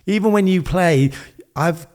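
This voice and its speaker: A man's voice: high-pitched, unhurried, a little mellow. Chatty man with a raised voice. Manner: friendly, prompting, trailing off into fillers. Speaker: podcast host. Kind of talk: interview conversation.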